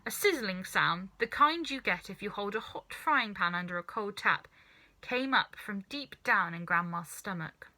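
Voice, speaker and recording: A woman narrating a children's story in an expressive, scripted storytelling style. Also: mid-range voice, moderate pace. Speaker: storybook reader.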